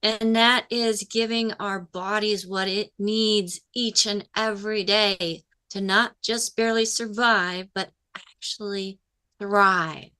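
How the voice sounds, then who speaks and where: A woman's voice: mid-range voice, steady tone, takes it slowly, bright, forceful tone, clear, resonant tone. A woman co-hosting a call-in health show, reading listener questions and speaking upbeat to the audience.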